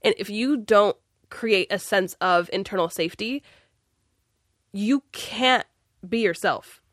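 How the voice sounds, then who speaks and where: A woman's voice: mid-range voice, slow, dry recording, clean studio sound. A woman in casual conversation, explaining earnestly in bursts with fillers.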